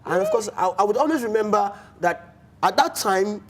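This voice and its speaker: A man with a Nigerian accent hosting a casual sports talk show in a studio, animated and often restarting his words. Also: high-pitched, normal speed. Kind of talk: casual studio chat with phone-in.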